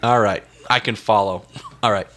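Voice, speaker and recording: A man's voice: fairly low pitch, fairly even intonation, normal speed, a bit bright. A man co-hosting a casual music discussion, talking in a hesitant, self-deprecating, indecisive way. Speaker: music podcast co-host.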